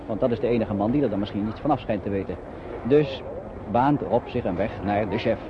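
An actor as a chatty, sympathetic narrator telling a radio play story in colloquial Dutch style. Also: male voice, lowish voice, fairly quick, natural voice. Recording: dull, noisy old radio recording.

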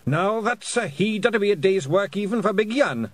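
A man's voice: high voice, animated, moderate pace, dry recording, pitched above the performer's own voice. A man voicing a small, belligerent Scottish pixie warrior leader in blustering dialect for a storybook. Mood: bold, game. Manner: boisterous, blustering, dialect-heavy speech.

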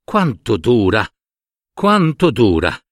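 A man's voice: medium pitch, wildly swooping pitch, takes it slowly, no room sound, studio quality. A man voicing an eager, impatient young flower in a storybook tale, full of yearning. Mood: impatient longing.

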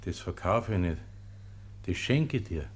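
An actor playing a pious, humble monastery porter in a German radio play, speaking simply and calmly with a Bavarian accent. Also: male voice, low-pitched, a little slow, breathy edge, heavily acted. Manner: calm, simple, gently admonishing.

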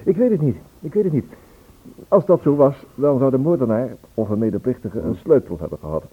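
An actor playing a probing, businesslike police inspector in a Dutch radio play, with measured questions and hesitant pauses. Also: male voice, medium pitch, animated, husky. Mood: measured, questioning. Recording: tinny band-limited old radio recording.

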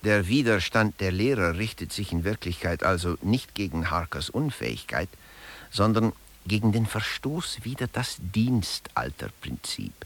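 A man's voice: lowish voice, very animated, unhurried, full character voice. A man narrating a satirical lecture in a radio play, articulate and emphatic in a mock-scholarly way.

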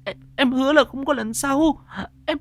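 A man's voice: high-pitched, normal speed, fairly bright tone, pitched above the performer's own voice. A man voicing a terrified young village wife who stammers, pleads and sobs. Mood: begging.

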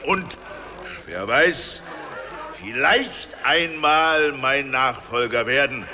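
An actor playing a gullible, remorseful Russian tsar in a children's radio play, speaking in a solemn theatrical manner. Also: male voice, medium pitch, expressive, background noise. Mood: solemn, proclaiming. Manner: slow, theatrical, declaiming.

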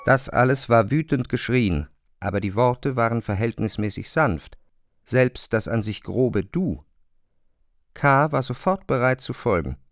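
Guy narrating a novel for radio, medium pitch, super dry studio sound. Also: expressive, a little slow, dry recording. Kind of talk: scripted literary narration.

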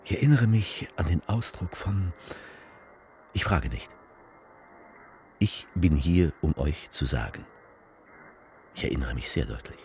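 A man playing a political speechmaker in a theatrical radio piece, addressing the people with halting, emphatic, repetitive rhetoric. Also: low-pitched, sing-song, darkish tone, a little hiss or hum, full character voice. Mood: brooding, halting.

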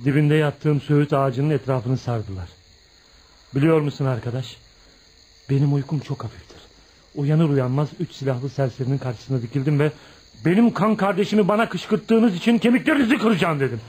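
An actor in a radio play voicing an embittered, proud young outcast who confides in a dramatic, emphatic storytelling manner. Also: male voice, a little high, a little fast, darkish tone, husky. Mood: intense, grim. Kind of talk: radio theatre acting.

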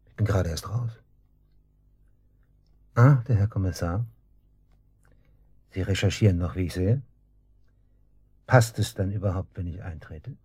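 A man's voice: deep voice, unhurried, long pauses, mellow, husky, no room sound, heavily acted. An actor in a German radio drama playing a smug, taunting man just released from custody, speaking with mocking casualness.

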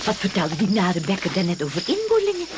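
A woman's voice: mid-range voice, wildly swooping pitch, fast talker. Fretful nervous lady, wildly varied intonation, questioning, radio drama, hissy old recording. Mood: anxious. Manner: anxious, questioning.

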